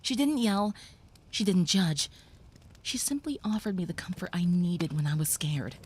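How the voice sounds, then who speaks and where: A woman voicing a gentle young female vampire who soothes a human listener, speaking softly and often in a whisper, in an audio roleplay. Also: on the low side, normal speed, slightly rough.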